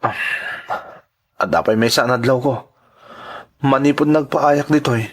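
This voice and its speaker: A man's voice: medium pitch, fairly even intonation, measured pace, natural voice. An actor as an earnest Filipino migrant worker, male. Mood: worried, confiding. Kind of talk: Ilocano radio drama acting.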